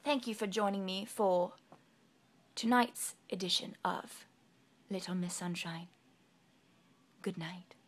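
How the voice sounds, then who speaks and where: A woman narrating a children's storybook expressively, in a hushed, deliberate storytelling manner. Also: lowish voice. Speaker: storybook reader.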